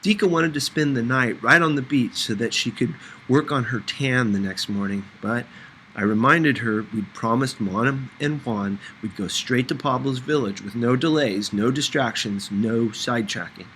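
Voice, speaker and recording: A man's voice: mid-range voice, moderate pace, a bit bright, slight background noise, rough recording. A man narrating a first-person novel as an audiobook reader, in a scripted storytelling style.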